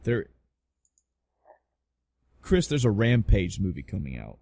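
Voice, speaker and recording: A man's voice: fairly low pitch, huge pitch swings, slow pace, creaky, mellow, no echo, clean studio sound. A man hosting a casual podcast about pop culture, talking in an emphatic, rambling way with plenty of swearing. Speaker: podcast host. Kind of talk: casual podcast chat about video game movies.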